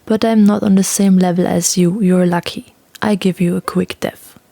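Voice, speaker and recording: A woman playing a cold, menacing young male swordsman in a fantasy audio play, with hushed, controlled threats. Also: fairly low pitch, steady tone, moderate pace, gravelly, no room sound. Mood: cold menace.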